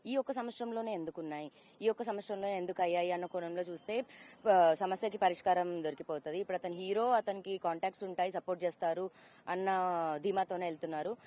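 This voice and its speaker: A woman's voice: fairly low pitch, fast, mellow. A woman, giving a personal account as an interview guest and mixing in English words. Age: 30s. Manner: code-mixes English words into her talk.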